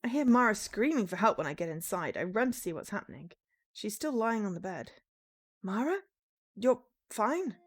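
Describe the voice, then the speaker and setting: A woman's voice: mid-range voice, very animated, a little fast. A woman streamer reading narration from a visual novel game aloud.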